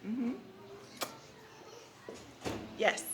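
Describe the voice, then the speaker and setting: A woman reading a storybook aloud and questioning young children in a teacherly way, repeating answers back. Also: drawn-out words. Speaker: read-aloud storyteller leading a children's class. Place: classroom or school room with children. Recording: noisy live room with background noise.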